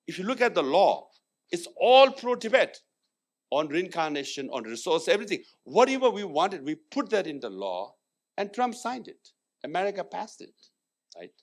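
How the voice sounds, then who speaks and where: A man speaking on a panel, arguing emphatically and dropping Hindi phrases into his English. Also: sits high. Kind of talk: panel discussion. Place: conference hall.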